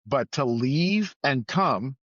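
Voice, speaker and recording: A man's voice: mid-range voice, expressive, slow, fairly bright tone, no echo. Emphatic man, slightly muffled recording. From Trinidad and Tobago, moved to the US at nine. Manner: emphatic, repeats phrases for weight. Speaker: podcast guest. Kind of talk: conversational personal storytelling. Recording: slightly dull, lo-fi sound.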